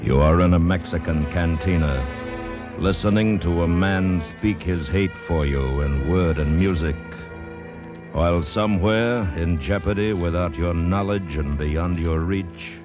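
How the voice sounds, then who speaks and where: Very deep, ominous radio narrator. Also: male voice. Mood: grave, ominous. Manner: slow, dramatic, portentous delivery. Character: grave, ominous radio adventure narrator, male. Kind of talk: 1950s radio drama announcing. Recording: vintage radio broadcast over music.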